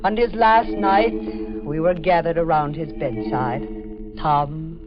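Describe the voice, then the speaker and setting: A woman's voice: deep voice, takes it slowly, music in the background. An actress playing a brooding old woman, last of a doomed family, in a grave, dramatic 1940s radio style. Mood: brooding, haunted. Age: older. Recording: dull lo-fi 1940s radio recording.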